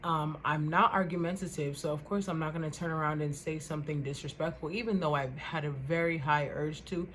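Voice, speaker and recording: A young woman vlogger with a loud voice, ranting casually to the camera in an animated, indignant way and repeating words for emphasis. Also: low voice, fairly quick, few pauses, a little hiss or hum.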